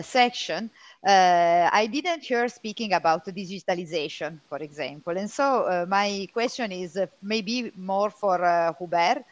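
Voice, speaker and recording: A woman with a mid-pitched voice and a dry, close sound moderates a discussion panel. She puts her questions in halting, hesitant phrases. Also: dry recording.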